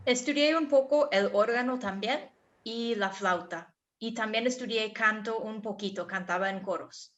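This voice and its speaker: A woman's voice: fairly high pitch, moderate pace, hardly any pauses, fairly bright tone, dull audio. A woman in her thirties with an American accent, a guest musician answering children's questions in friendly, simple replies. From United States. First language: English. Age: 30s.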